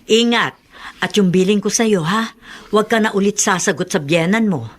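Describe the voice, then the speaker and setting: A Filipino actress playing a middle-aged mother in a radio drama, gently firm, concerned and admonishing. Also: medium pitch, normal speed, close to an everyday voice. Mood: concerned, advising. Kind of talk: Tagalog radio drama acting.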